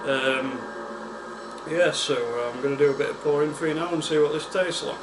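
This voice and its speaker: A man with a West Yorkshire accent hosting a casual beer-tasting vlog, chatty and unhurried, with plenty of ums and uhs. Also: a little high. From West Yorkshire, England. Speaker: beer reviewer, channel host. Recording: echoey room with air-conditioning hum.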